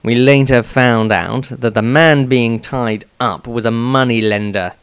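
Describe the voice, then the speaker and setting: A man voicing a cold, misanthropic fighter with a deliberate, contemptuous manner in a fantasy diary reading. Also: mid-range voice, steady tone, smooth, no echo, natural voice. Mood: indifferent, scornful. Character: cold, misanthropic male fighter, menacing and scornful.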